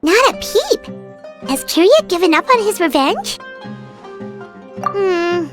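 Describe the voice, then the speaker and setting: A woman voicing a small, excitable, chatty fairy companion in an anime game, with a squeaky childlike voice. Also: takes it slowly, exaggerated voice. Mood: puzzled, restless. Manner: speaks of self in third person. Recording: music behind the voice.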